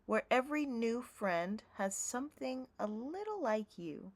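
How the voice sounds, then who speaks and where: A woman reading a children's picture book aloud, with deliberate, expressive, measured phrasing. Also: fairly high pitch. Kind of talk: scripted read-aloud narration for young children.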